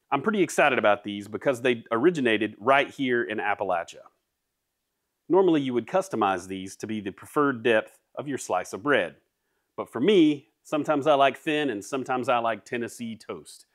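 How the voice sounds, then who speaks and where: A man, narrating his woodworking projects in a cheerful, scripted way. His voice sits at an ordinary pitch for a man. The sound is close and dry, with hardly any room echo. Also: a bit bright. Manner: upbeat, jokey how-to delivery. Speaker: woodworking channel host. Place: home woodworking shop.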